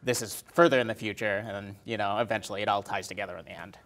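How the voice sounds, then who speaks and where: A man chatting casually as a podcast guest in a halting way, often saying "like" and correcting himself. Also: on the low side, fairly even intonation, fairly bright tone. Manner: halting, many "like"s and self-corrections. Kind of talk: casual chat.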